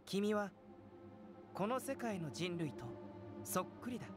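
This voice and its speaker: Composed young male alchemist, lighter high register, measured scholarly delivery for a fantasy game. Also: expressive, over music. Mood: calm, explanatory. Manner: measured, composed, philosophical explaining. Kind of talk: Japanese anime game voice acting.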